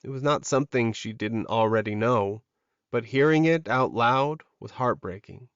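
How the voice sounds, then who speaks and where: A man's voice: mid-range voice, moderate pace, clean tone, no echo, highs cut off. A man narrating a scripted, dramatic fantasy romance audiobook.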